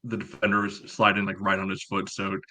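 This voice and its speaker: Male podcast co-host, bright forceful tone, little pitch movement, casual game analysis. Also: completely flat delivery, quick pace. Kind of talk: casual match analysis chat.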